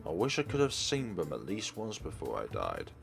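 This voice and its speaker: A man's voice: deep voice, huge pitch swings, normal speed, vocal fry, music in the background, heavily acted. A man voicing a regretful, self-deprecating old man with formal phrasing, reading visual novel dialogue aloud. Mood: wistful, regretful.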